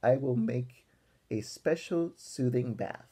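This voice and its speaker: A caring storybook grandma, voiced by a man, soothing and slow. The tone is mellow and a little husky. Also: medium pitch.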